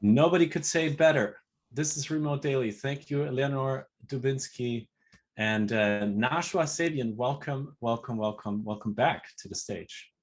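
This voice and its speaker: A man hosting an online interview, asking questions in a halting way with fillers and restarts. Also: medium pitch. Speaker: host. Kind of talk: interview host.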